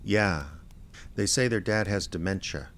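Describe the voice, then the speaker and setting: An actor playing a laconic small-town private investigator, narrating his case in a deadpan, understated radio drama style. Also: lowish voice, measured pace, slight background noise. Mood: quiet, probing. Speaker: narrator and lead character.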